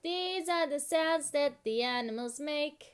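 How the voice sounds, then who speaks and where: Woman, high pitch, very unhurried, sing-song children's rhyme, simple repetitive delivery.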